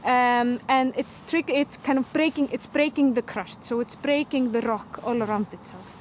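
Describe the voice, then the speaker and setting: A woman explaining volcanic activity carefully, pausing often with um. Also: fairly high pitch, a little hiss or hum. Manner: careful, halting, pauses with um. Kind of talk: explanatory interview answer.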